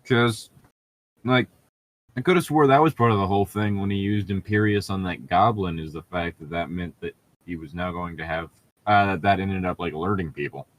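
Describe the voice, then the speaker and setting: A man's voice: low-pitched, moderate pace. A man co-hosting a comedic read-aloud, bantering casually with sarcastic, joking, profane commentary. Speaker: co-host reading and mocking fan fiction.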